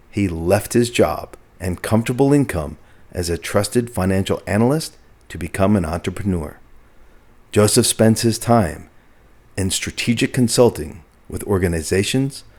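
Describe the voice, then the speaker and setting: A man's voice: on the low side, darkish tone. A male podcast host giving an earnest, effusive introduction and asking questions, with fillers and restarts.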